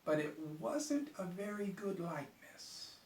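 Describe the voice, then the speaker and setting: A man's voice: high voice, sing-song, measured pace, far from the mic. A man narrating a children's bedtime story as a storyteller. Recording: echoey room, off the mic.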